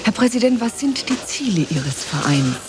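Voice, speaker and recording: A woman's voice: fairly high pitch, very animated, moderate pace, crowd noise. A woman playing a polite, persistent radio interviewer in an audio drama. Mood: politely inquiring.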